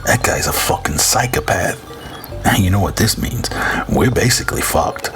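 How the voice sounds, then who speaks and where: A gruff, foul-mouthed criminal, acted by a man, edgy about danger. The pitch is low, with faint music behind, in radio-drama style. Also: expressive, normal speed. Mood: alarmed, bitter. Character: gruff, foul-mouthed, jittery male crook.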